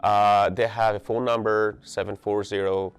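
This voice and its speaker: A man's voice: fairly low pitch, little pitch movement, moderate pace, clean tone. A man from Bangladesh, a doctoral student, giving an earnest interview account. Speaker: PhD student in biology. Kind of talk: interview testimony. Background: soft music.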